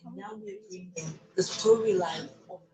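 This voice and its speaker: A woman's voice: fairly low pitch, normal speed, a crowd in the background. A woman with a Nigerian accent giving a scripted introduction to a radio drama. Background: music.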